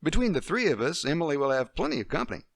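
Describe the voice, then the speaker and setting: A man's voice: on the high side, sing-song, rapid-fire, gravelly, no echo, studio quality, voice pushed up, heavily acted. A man reading a story aloud, voicing an easygoing male assistant who speaks reassuringly.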